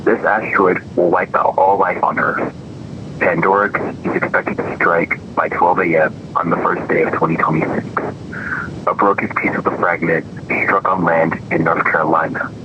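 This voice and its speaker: A man's voice: level tone, normal speed, natural voice. A man playing a remorseful president giving a solemn, formal doomsday address in a fictional emergency broadcast. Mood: grave, remorseful. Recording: muffled, noisy broadcast with rumble.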